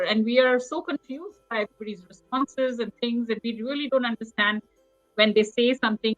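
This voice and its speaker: A woman's voice: on the high side, dry recording, muffled recording. A woman hosting an author panel, chatting animatedly and laughing readily as she asks questions. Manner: animated, quick to laugh and chime in. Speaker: panel host. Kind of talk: lively casual panel talk.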